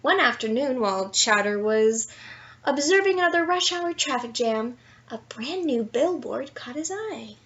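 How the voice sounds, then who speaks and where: A woman reading a children's picture book aloud in an expressive, playful storytelling style with dramatic emphasis. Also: sits high, unhurried, muffled recording. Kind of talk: animated bedtime story read-aloud with asides.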